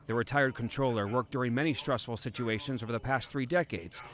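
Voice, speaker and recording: A man's voice: medium pitch, brisk. A man reading scripted investigative news narration as a television reporter.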